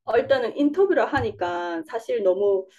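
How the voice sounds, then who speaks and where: A woman's voice: on the high side, expressive, normal speed, darkish tone, highs cut off. A young woman with a Busan dialect, answering interview questions casually, rambling a little and laughing often. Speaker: interview guest, coaching student.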